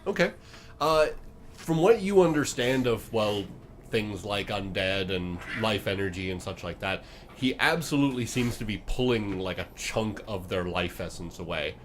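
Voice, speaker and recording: A man working as game master, improvising descriptive narration for a tabletop game in a halting way. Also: fairly low pitch, animated, a bit bright, slight background noise.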